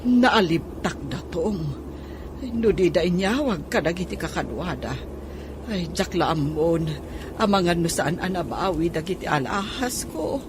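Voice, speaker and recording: A woman playing a plaintive, aggrieved middle-aged robbery victim with a husky voice in an Ilocano radio drama, lamenting in drawn-out exclamations. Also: lowish voice, lively intonation, noisy background.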